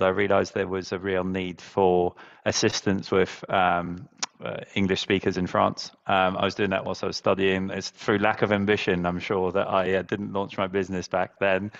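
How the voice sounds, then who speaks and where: Guy in his 30s on a webinar, low flat gravelly voice, dull call audio, lots of ums. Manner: fluent, many restarts and fillers, earnest. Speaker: relocation business founder, panel guest. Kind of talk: webinar panel talk. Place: indoors, virtual background. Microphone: headset mic on video call.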